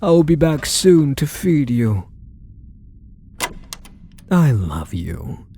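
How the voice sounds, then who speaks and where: A man playing a possessive, doting kidnapper obsessively in love, speaking in soft, coaxing, condescending endearments for an intimate ASMR roleplay. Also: on the high side, big pitch swings, extremely slow, slight background noise, exaggerated voice. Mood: tender, controlling.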